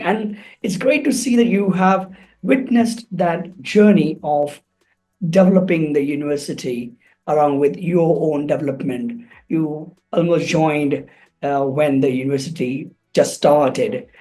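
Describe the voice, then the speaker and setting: An interview host speaking slowly and deliberately with fillers, over a video call. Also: medium pitch, dark tone, faint music behind, poor audio. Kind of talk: interview hosting.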